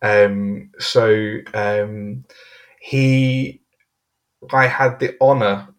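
A man's voice: on the low side, fairly even intonation, extremely slow. A man hosting an interview podcast, speaking with formal, courteous phrasing and some repeated words. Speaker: host.

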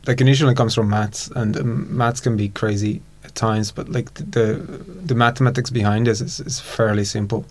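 A man's voice: fairly low pitch, steady tone, brisk, hardly any pauses. A man with a Hungarian accent, a guest expert on a casual podcast, thoughtful and laughing easily as he explains.

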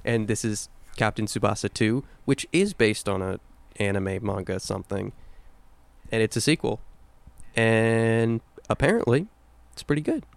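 A man's voice: lowish voice, expressive, a little slow, creaky, dry recording. A man co-hosting a podcast, talking casually and off the cuff about video games. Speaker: podcast co-host. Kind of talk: casual unscripted video game discussion.

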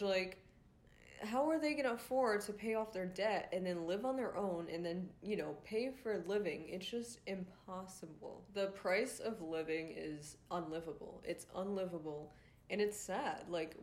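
A young woman from Rhode Island hosting her own podcast, ranting casually with a nasal, congested voice, full of fillers and swearing. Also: mid-range voice. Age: young adult. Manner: frustrated, swears often, many fillers. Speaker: podcast host.

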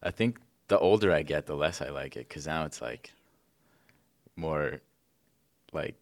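Deep-voiced laid-back young man. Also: measured pace. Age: 20s. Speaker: podcast co-host. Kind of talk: casual chat.

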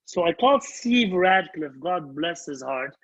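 A man with a notably high, rough-edged husky voice, a native Arabic speaker. He tells anecdotes from his singing career with emphasis, repeating phrases for effect and putting himself down. The sound is somewhat muffled and lo-fi. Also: expressive, measured pace, a bit bright. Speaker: band leader and singer recounting his career. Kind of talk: animated anecdotal storytelling in conversation.

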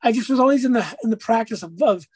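A man with a raspy speaking voice, a musician guest on an interview, talking casually and rambling through stories with lots of fillers. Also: very high-pitched, fast talker, no echo. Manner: rambling storyteller, many fillers.

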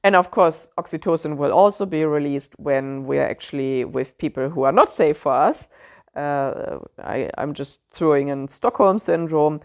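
A woman with a German accent hosting a solo podcast, speaking thoughtfully and pausing often with fillers as she searches for words. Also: low voice. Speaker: podcast host.

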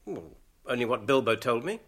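An actor playing a younger, earnest and gentle hobbit with a British accent in a radio drama, speaking in a hesitant, questioning way. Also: male voice, mid-range voice, big pitch swings, moderate pace, vocal fry, husky, full character voice. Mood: curious, puzzled.

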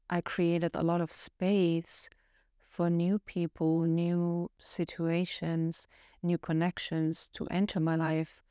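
Woman host, German native, low flat mellow voice, dry close sound, earnest and reflective. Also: smooth, dry recording. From Austria. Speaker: podcast co-host. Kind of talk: casual podcast conversation.

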